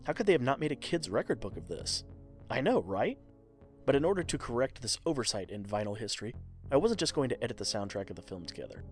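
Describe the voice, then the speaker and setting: A man's voice: medium pitch, very animated, quick pace. A man giving scripted narration as a podcast host and storyteller. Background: faint music.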